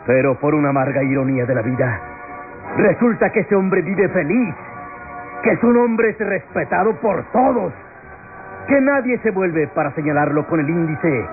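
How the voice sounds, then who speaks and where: An actor playing an impassioned defense lawyer in a classic Latin American radionovela, declaiming solemnly with rhetorical pauses. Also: male voice, fairly high pitch, animated. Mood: indignant, impassioned. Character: impassioned male defense lawyer pleading in court. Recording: tinny vintage radio drama, music behind.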